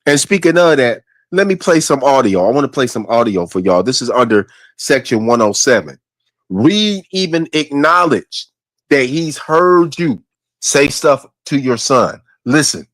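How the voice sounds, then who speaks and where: A man's voice: mid-range voice, lively intonation, measured pace, a bit bright, no room sound. A man hosting a livestream, delivering a heated, profane rant that accuses and addresses his opponents directly. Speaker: livestream host.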